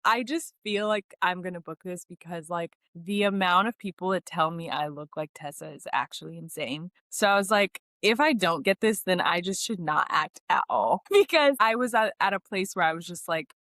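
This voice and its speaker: A young American woman with a slightly low voice talks as a guest on a podcast. Her way of speaking is informal and chatty, peppered with "like", and the sound is close and dry. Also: animated, moderate pace, hardly any pauses, fairly bright tone, dry recording. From New York, United States. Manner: informal, conversational, frequent "like". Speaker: interview guest, actress. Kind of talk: casual podcast chat.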